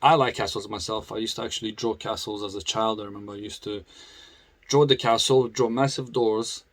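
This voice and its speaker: A man's voice: on the low side, steady tone, a little fast, dry recording. A man with a foreign accent who grew up in the UK, chatting casually to camera as a motorcycle vlogger, laughing often and restarting his sentences.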